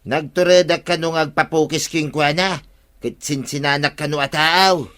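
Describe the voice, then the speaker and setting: A man plays an aggrieved villager with an Ilocano accent in a radio comedy. His fairly high voice is plaintive and needy, with a naive, complaining delivery. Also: steady tone, moderate pace, fairly bright tone, lightly acted. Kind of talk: radio comedy drama acting.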